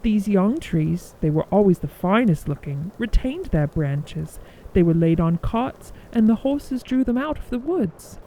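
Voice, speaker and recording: Female storyteller for children's tales: soft, warm timbre, lively melody, faint background noise. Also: mid-range voice, unhurried, low-quality recording. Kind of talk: scripted children's story narration.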